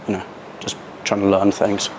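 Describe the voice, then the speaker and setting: Relaxed young man, low voice. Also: moderate pace, slight background noise, low-quality recording. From Cornwall. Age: young adult. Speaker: student rally team member. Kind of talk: casual interview answers.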